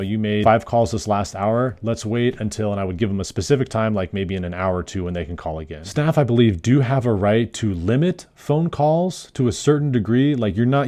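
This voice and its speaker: A man's voice: fairly low pitch, dark tone. A man working as a nurse, hosting an explanatory video in an informal, conversational way. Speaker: psychiatric nurse and video host. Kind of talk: explanatory talk to camera.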